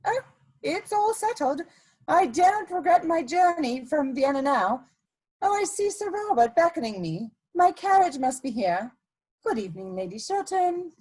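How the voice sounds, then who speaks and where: A woman acts out an elegant society schemer in a period stage drama. Her voice is high and swoops expressively, with deliberate, imperious phrasing that stays coolly ruthless. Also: very animated, a little mellow, low-quality recording. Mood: smug, gracious.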